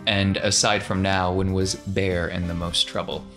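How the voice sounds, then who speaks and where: A man's voice: deep voice, level tone, a little slow, fairly bright tone, faint music behind. A man hosting an actual play podcast as game master, talking casually and introducing the game.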